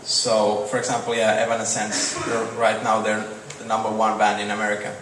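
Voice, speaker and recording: Young Finnish-accented man, halting Q&A answers, distant podium mic in a reverberant hall. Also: on the low side, monotone, fairly bright tone. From Finland. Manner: halting, repeats words, short answers. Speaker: startup presenter. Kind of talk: Q&A after a product demo. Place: large dark event hall on stage. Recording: echoey hall, distant mic, faint noise.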